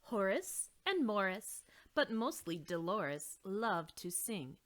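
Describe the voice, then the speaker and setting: Woman, storybook read-aloud, slow, expressive, bright, lively pitch. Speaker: read-along reader.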